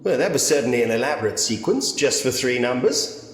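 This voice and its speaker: A man's voice: mid-range voice, expressive, fairly quick, close to an everyday voice. A man playing an inquisitive, articulate investigator who muses to himself in a Victorian ghost story point-and-click game. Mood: intrigued. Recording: roomy, long reverb, noisy ambient bed.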